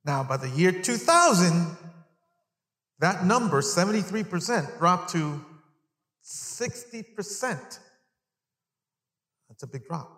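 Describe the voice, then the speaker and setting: A man in his fifties delivers a sermon in a fairly high-set voice. He talks conversationally, repeating key phrases and putting questions to his listeners. The sound is echoey, with the long reverb of a big hall. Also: very animated, slow pace, pauses often, fairly bright tone. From Long Island, New York, United States (born in El Salvador). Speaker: preacher. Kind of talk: sermon to a live congregation. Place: large indoor church hall, speaking to an audience.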